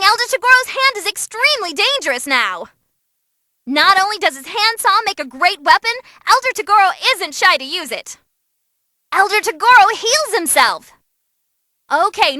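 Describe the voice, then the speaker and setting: An actress voicing an excitable, cheeky young female ring announcer, giving animated, theatrical fight commentary in a video game. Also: extremely high pitch, normal speed, no echo, clean studio sound, heavily acted. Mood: cheeky commentating. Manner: perky, theatrical, wisecracking. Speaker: match commentator.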